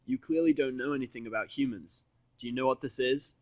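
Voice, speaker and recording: A man's voice: mid-range voice, fairly even intonation, brisk, a little mellow, no room sound, lightly acted. A man playing a defiant, foul-mouthed human who bluffs and scolds in a brash, sarcastic, confrontational way in an audio story. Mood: cocky.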